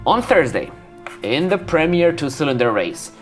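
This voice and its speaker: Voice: mid-range voice, big pitch swings, moderate pace. A narrator with a wry, conversational manner, reading scripted narration with casual asides. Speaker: narrator and host. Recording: music under the voice. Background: background music.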